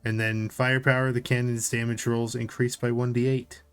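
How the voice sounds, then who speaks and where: A man's voice: medium pitch, level tone, normal speed, smooth, soft background music. A man co-hosting a casual tabletop gaming discussion, informally reading out notes and commenting on them. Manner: informal, reads notes aloud then comments. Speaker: podcast co-host.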